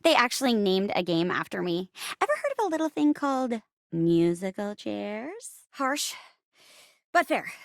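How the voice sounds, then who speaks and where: A woman's voice: mid-range voice, very animated, measured pace, creaky, no echo, clean studio sound. A woman voicing a peppy, theatrical young female chair character in a video game, exclamatory and giggly. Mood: playful, chatty. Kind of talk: animated video game voice acting.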